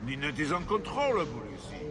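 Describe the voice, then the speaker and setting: An actor playing a weary, resentful husband with a bitter delivery in a fantasy video game. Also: male voice, a little high, very animated, fast talker, noisy background. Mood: resigned, bitter. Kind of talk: dramatic video game acting.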